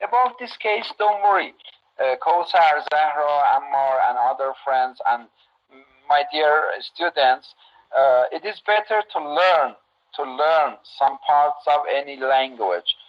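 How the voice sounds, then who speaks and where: Slow, halting Iranian man teaching. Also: fairly high pitch, lively intonation, dull audio. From Iran. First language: Turkish. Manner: halting, many fillers and pauses. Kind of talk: online class talk to students.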